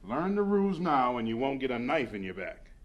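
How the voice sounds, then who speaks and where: An actor playing a stern, pragmatic middle-aged college president in a radio drama, lecturing briskly with authority. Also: male voice, medium pitch, big pitch swings, lo-fi sound. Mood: stern, cautioning.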